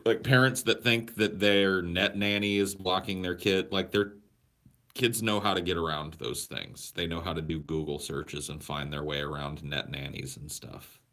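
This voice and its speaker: Low-voiced man rambling to his stream. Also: steady tone, moderate pace, a bit bright. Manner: rambling, crude, answers chat questions aloud. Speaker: livestream host. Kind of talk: casual livestream chat with viewers. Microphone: portable camera or phone carried around.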